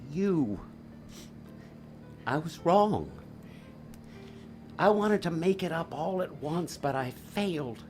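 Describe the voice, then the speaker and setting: A man playing an earnest, remorseful father and stage magician in an audio drama, speaking in a halting way. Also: fairly high pitch, sing-song, a little mellow, soft background music.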